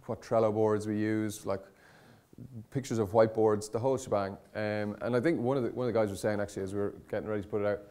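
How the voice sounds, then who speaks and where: Low-voiced man giving an informal talk. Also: steady tone, quick pace, mellow, clean tone. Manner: conversational, hesitant, rambling with frequent self-corrections. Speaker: conference speaker on startup content marketing. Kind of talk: informal presentation to an audience.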